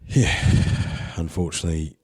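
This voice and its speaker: Australian male host, husky and a bit under the weather, casual solo intro, very deep tone. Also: unhurried, darkish tone. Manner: laid-back, candid, chatty. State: unwell. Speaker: podcast host.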